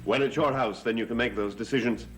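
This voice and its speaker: An actor playing a self-assured, curt master of a gothic mansion in a vintage soap opera, polite but cutting. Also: male voice, mid-range voice, fast talker, close to an everyday voice. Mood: cool, cutting. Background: background music.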